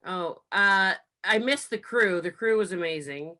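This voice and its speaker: A woman's voice: medium pitch, unhurried, pushed and bright. A woman reminiscing casually as a cast member on a video call.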